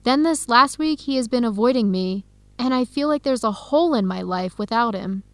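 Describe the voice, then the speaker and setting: An actress playing an earnest young researcher who confides carefully and clearly in an English-learning radio drama. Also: female voice, fairly high pitch, animated, normal speed, no echo. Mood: sad, hopeless.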